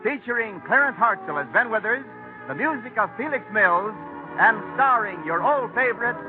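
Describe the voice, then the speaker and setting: A tinny, narrowband vintage radio recording carries a man announcing in 1940s style. His high voice swings up and down with lively emphasis as he pitches for the sponsor. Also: normal speed, music in the background.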